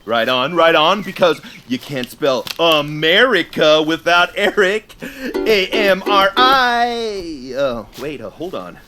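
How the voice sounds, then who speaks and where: A man's voice: on the high side, animated, slow pace, hardly any pauses, pushed and bright, heavily acted. An actor playing a friendly, goofy young male summer camp counselor, laid-back and slangy, in an audio drama. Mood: goofy, chummy. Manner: slangy, easygoing, hesitant with ums.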